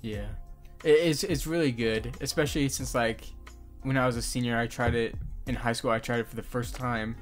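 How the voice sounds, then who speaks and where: A man's voice: mid-range voice, fairly even intonation, normal speed, soft background music. A young man chatting casually on a podcast in a laughing, informal manner with some swearing. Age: young adult. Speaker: podcast co-host and hobby voice actor.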